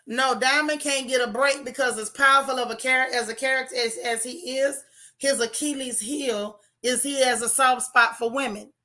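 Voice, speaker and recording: Hoarse, bright-voiced woman streaming. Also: high-pitched, normal speed. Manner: animated and opinionated, talks straight to her chat. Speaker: channel host reviewing a TV show. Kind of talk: casual livestream chat with viewers.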